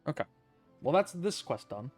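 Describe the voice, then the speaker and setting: A man's voice: a little high, big pitch swings, normal speed, darkish tone, soft background music. A man giving casual gameplay commentary as a streamer, with a wry, offhand, sweary manner. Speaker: video game streamer.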